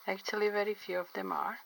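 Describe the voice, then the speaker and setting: Middle-aged woman, English not her first language, slow deliberate delivery, bright gravelly tone. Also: medium pitch, low-quality recording. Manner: slow, careful, clearly enunciated, pauses often. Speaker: artist and interpreter. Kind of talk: drawing tutorial vlog chat. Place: home studio by a window.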